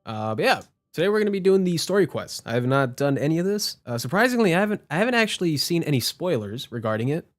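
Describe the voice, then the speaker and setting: A man's voice: a little high, big pitch swings, fast. A man doing casual gaming stream commentary, informal and prone to swearing, with game music behind him. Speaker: livestreamer.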